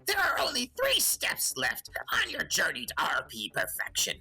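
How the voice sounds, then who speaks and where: A woman's voice: mid-range voice, wildly swooping pitch, normal speed, vocal fry, sharp tone, husky, heavily acted. A woman voicing a slick, crude male goblin who hosts a comic advice show. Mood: sly coaching. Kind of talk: comedic talk-show hosting in character.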